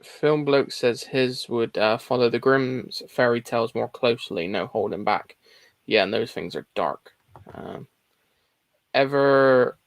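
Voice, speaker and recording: A man with a noticeably gravelly, mid-pitched voice co-hosts a casual livestream. The sound is very dry, with hardly any room on it, as he chats and reads comments. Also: no echo. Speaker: co-host reading out viewer comments.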